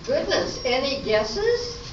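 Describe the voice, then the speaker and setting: A chatty gardening lady voiced by a woman tells her tale with slow theatrical drama. Her high voice comes from a distance on a live stage, in a dull, echoey recording. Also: big pitch swings, a little hiss or hum, heavily acted. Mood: chatty, dramatic. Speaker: amateur radio play actor. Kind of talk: theatrical comic radio play acting. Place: live stage performance in a hall.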